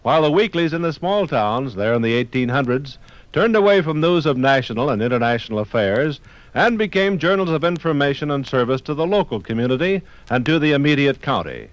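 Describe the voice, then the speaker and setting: An American man reading scripted narration for a 1950s radio documentary in a measured, formal manner. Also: mid-range voice, sing-song, no room sound, rough recording.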